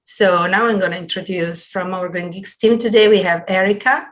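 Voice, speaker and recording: A middle-aged woman hosting a webinar on a video call from a small room at home, introducing things in a halting, partly read way. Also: mid-range voice, fairly even intonation. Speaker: webinar host. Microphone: computer video call.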